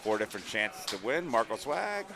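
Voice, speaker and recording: A man's voice: on the low side, very animated, normal speed. A middle-aged man from Long Island, hosting a live stream in a chatty, upbeat way, reading chat messages and ads. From Long Island, New York. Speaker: stream host. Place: tournament hall. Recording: noisy crowd in the background.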